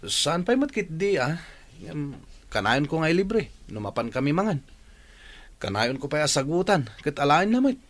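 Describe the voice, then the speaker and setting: An actor playing an aggrieved, rejected young suitor who complains, in a radio drama. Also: male voice, a little high, big pitch swings, normal speed.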